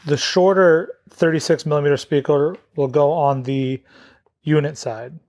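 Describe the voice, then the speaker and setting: A man's voice: fairly high pitch, fairly even intonation, unhurried, a little mellow. A man narrating a step-by-step product installation tutorial as a demonstrator.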